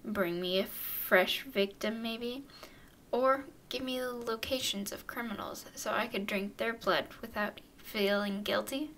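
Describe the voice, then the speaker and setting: Girl doing a haughty teasing vampire lady, asmr roleplay, kinda high voice, takes her time, breathy exasperated asides. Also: a little slow, a bit bright, slight background noise. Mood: teasing, wistful. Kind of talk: ASMR roleplay, acted monologue to listener.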